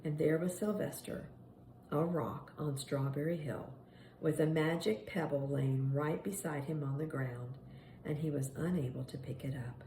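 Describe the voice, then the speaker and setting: A woman reading a children's picture book aloud in an expressive, dramatic storytelling manner meant for young children. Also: deep voice, moderate pace. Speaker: storybook reader. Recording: echoey room with faint background noise.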